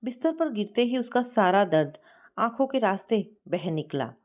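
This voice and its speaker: Woman narrator for sentimental fiction: mid-range voice, expressive delivery with plenty of melodic movement. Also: mid-range voice, normal speed. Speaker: storyteller. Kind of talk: scripted story narration.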